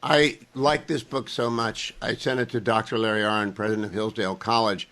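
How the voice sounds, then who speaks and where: Talk radio host asking long questions. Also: male voice, lowish voice, fairly even intonation, fairly quick, fairly bright tone. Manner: chatty, builds long questions with asides.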